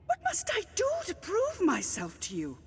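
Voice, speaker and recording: Hurt, defiant woman, wildly swinging pitch. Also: wildly swooping pitch, normal speed, long reverb tail, full character voice. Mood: wounded, defiant. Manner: protesting, wounded. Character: hurt, defiant woman desperate to prove herself. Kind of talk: dramatic video game voice acting.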